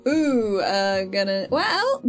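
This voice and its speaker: A woman's voice: mid-range voice, sing-song, slow pace, over music. A woman playing a tabletop role-playing game, chatting casually and joking as she improvises. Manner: playful, joking.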